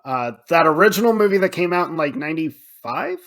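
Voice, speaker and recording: A man's voice: on the high side, lively intonation, fairly quick, a bit bright. A man co-hosting a film podcast, talking casually and swearing freely as he acts out his own reactions. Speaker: podcast co-host.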